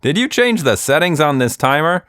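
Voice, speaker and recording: A man's voice: fairly high pitch, very animated, moderate pace, a bit bright, clear, resonant tone, dry recording, clean studio sound, voice pushed up. A man voicing an easygoing, mildly puzzled father in a children's story.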